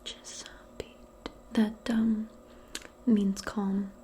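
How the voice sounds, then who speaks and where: Woman, hushed ASMR whisper, modern witch role play, even pitch, very slow rhythmic repetition. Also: a little hiss or hum. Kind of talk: soft-spoken whispered ASMR personal attention role play.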